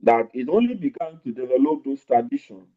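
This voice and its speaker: A man lecturing a law class online, stretching out key words with deliberate emphasis. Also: medium pitch, big pitch swings. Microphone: remote call over network. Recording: dull lo-fi online audio.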